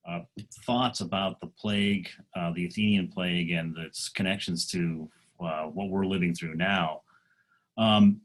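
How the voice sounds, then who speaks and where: Male academic moderator, very low husky voice, halting delivery. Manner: halting, many uh fillers.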